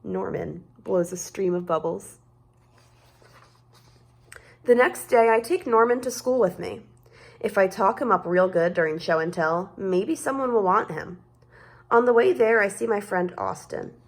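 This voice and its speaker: A woman reading a children's story aloud in an expressive way, stretching words for dramatic emphasis. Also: mid-range voice, a little slow, long pauses. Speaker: storybook reader.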